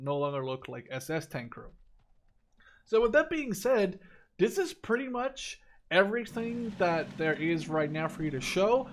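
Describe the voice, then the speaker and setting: A man in his twenties giving casual video game commentary in a rambling, conversational way, often correcting himself as he goes. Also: fairly high pitch. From Netherlands. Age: 20s. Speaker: gaming video creator.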